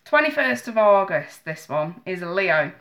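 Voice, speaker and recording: Woman, 30s, tarot streamer; stuffy nose, bright edge, chatty and apologetic, webcam at a home desk. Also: fairly low pitch, sing-song, normal speed. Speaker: tarot reader streaming live. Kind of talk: casual live-stream chat. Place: small home office in the evening.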